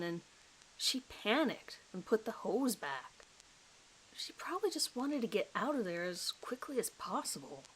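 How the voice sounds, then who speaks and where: A woman's voice: medium pitch, a bit bright, low-quality recording. Woman voicing a nervous, hesitant thirties male suspect. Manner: hesitant, apologetic, rambling recollection. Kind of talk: dramatized police interview recording.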